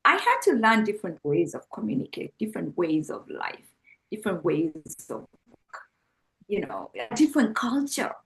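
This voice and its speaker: A woman's voice: mid-range voice, huge pitch swings, normal speed, low-quality recording. A middle-aged woman with a Kenyan accent, speaking as an interview guest in a reflective, earnest, conversational way.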